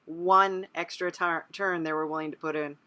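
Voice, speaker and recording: A woman's voice, a little low in pitch but bright and forward. She interviews in a relaxed conversational style, affirming and encouraging as she goes. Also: moderate pace. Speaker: interviewer, host.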